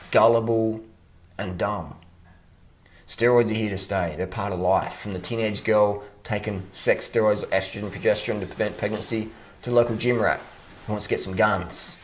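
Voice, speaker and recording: A man's voice: on the low side, a little hiss or hum. Blunt, profane man ranting casually. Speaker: online fitness commentator answering viewer comments. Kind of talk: casual unscripted rant.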